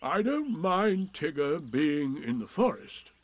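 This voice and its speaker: A man putting on a slow, gloomy old donkey. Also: on the high side, sing-song, no room sound, full character voice. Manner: slow, mournful, sarcastic drawl. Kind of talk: storybook reading.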